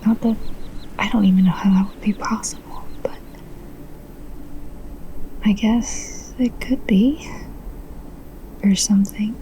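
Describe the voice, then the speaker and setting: A woman's voice: medium pitch, fairly even intonation, slow pace, long pauses, warm tone, rough recording. A woman playing an anxious, confused woman in a sci-fi ASMR roleplay, whispering in a hesitant, musing way. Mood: worried musing. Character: anxious, confused woman who alone kept her memory.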